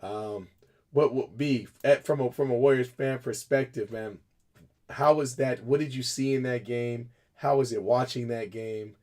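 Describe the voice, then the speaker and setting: A man talks through a casual sports chat, his voice raspy after a cold. He rambles loosely, laughs between lines and restarts his sentences. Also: medium pitch, steady tone, measured pace, darkish tone. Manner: chatty, laughs often, trips over words. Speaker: co-host. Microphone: video call.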